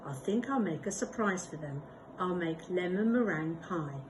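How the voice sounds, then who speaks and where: A woman voicing a cheerful, literal-minded housekeeper in a read-aloud storybook, speaking slowly and emphatically. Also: lowish voice, animated, background noise, close to an everyday voice.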